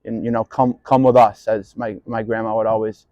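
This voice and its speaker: A man's voice: on the low side, level tone, brisk, mellow, no echo, dull audio. A man recounting family history in a documentary interview, hesitant with "you know". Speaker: grandchild of survivor.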